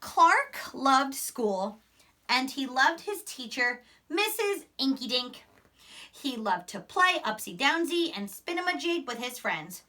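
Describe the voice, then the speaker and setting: Young woman teacher, children's read-aloud, high bright voice, very lively intonation, expressive, chatty asides. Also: slow pace, a little hiss or hum. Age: young adult. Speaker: primary school teacher. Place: small indoor classroom.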